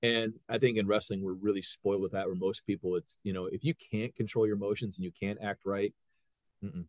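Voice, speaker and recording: A man in his fifties from Ohio, a high school wrestling coach who talks earnestly in a casual podcast chat and often acts out what people said. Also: fairly even intonation, brisk, no echo. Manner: earnest, retells scenes by quoting people.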